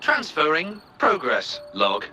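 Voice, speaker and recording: Bright, formal robot helper. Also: male voice, a little high, huge pitch swings, slow pace, a little hiss or hum, exaggerated voice. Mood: formal, matter-of-fact. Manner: clipped formal report phrasing. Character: small helpful robot assistant, literal and formal. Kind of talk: video game voice acting.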